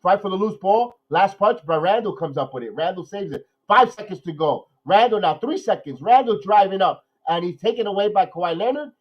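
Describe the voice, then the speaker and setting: Nasal man calling a game, lo-fi. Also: sits high. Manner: excited rapid play calling, then talky analysis with repeats. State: nasal from a cold. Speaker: podcast host. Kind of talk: live watch-along play-by-play and commentary.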